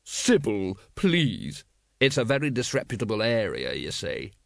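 Man playing a low, weary watch captain. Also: wildly swooping pitch, measured pace, gravelly, dry recording, clean studio sound, heavily acted. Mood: uneasy. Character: weary, impatient, sardonic male watch captain.

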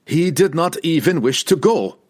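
Man playing a hot-tempered young barbarian, raised pitch. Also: a little slow, close to an everyday voice. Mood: angry, doubtful.

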